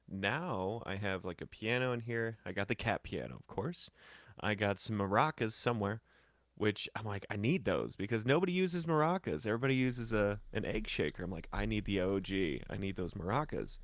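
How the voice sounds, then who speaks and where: An American man from St. Louis telling casual stories as an interview guest, in slangy, animated talk. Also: on the low side, dry recording. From St. Louis, Missouri, United States. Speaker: podcast guest, singer and musician. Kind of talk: casual conversational storytelling.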